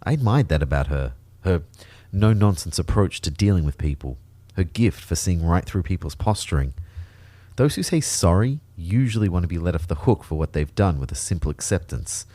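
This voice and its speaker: A male actor plays an observant, self-doubting storyteller in an audio drama. His voice is deep and relaxed, recorded very dry, with a measured, reflective delivery. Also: no echo. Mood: thoughtful. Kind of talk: first-person audio drama narration.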